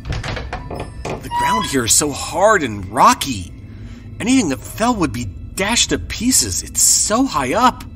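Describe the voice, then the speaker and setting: A frightened young man trapped in a vampire's home thinks aloud in an anxious, stammering way. His voice sits a little high and rises and falls a lot. Music plays under his words. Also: huge pitch swings, takes it slowly, exaggerated voice. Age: young adult. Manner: anxious, stammering inner monologue.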